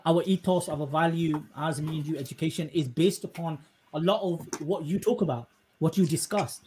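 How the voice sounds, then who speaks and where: A man's voice: a little high, moderate pace, darkish tone, a little hiss or hum. A man hosting a podcast interview in an effusive, emphatic and wordy conversational way. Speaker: podcast host.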